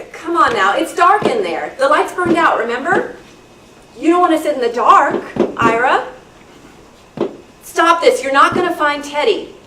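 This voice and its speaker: A woman playing an exasperated young babysitter growing frightened in the dark, in an amateur radio thriller. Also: high-pitched. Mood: stern, coaxing. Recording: echoey room, distant mic, background noise.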